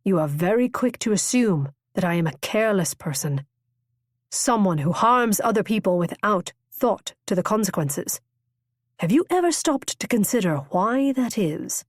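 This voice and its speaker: A woman reading as a stiff, formal young gentleman. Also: medium pitch, animated, normal speed, no echo, studio quality, close to an everyday voice. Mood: cutting. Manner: clipped, formal, cold reproach.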